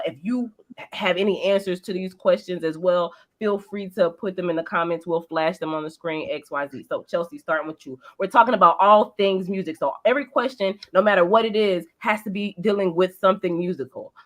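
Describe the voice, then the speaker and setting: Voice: mid-range voice, fairly quick, a bit bright. A podcast host talking casually and informally, with some swearing.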